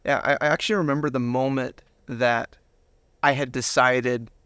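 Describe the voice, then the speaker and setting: A man's voice: mid-range voice, steady tone, normal speed, a bit bright, clean tone, no echo. A man giving a personal testimony as an interview guest, retelling moments with quoted dialogue acted out.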